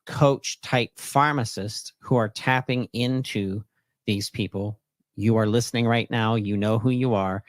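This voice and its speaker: Male podcast host, mid-range pitch, upbeat promotional interview style, very little room sound. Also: no echo.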